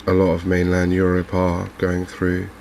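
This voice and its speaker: A man with an English accent giving soft-spoken, hesitant, unhurried gameplay commentary in an ASMR style. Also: low voice. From England. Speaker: gaming video host. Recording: clear steady background noise.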